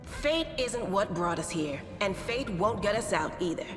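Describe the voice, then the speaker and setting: A woman's voice: mid-range voice, animated, a little slow, natural voice. An actress playing an earnest, playful and resolute young woman crusader in a fantasy video game, speaking sincerely and declamatorily. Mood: earnest, firm. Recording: echoey reverberant hall, music behind. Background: game music.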